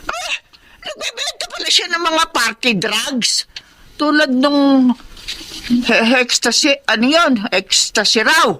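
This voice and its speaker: A woman's voice: high voice, big pitch swings, slow pace, sharp tone, slight background noise, exaggerated voice. A woman acting a chatty, nosy older female neighbor with a Filipino accent in a radio drama. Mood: gossipy.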